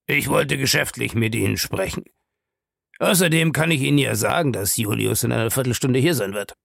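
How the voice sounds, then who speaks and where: A man voicing a shady, pushy male schemer in an audiobook, insistent, ironic and scornful, with a gravelly voice. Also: medium pitch, a little fast, a bit bright, no room sound, clean studio sound. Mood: offended, businesslike. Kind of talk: audiobook character dialogue.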